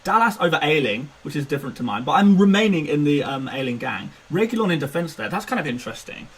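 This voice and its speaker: A man's voice: a little high, very animated, fast, husky. A man in his twenties chatting casually on a live stream, self-mocking and laughing often. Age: 20s. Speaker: fantasy football streamer.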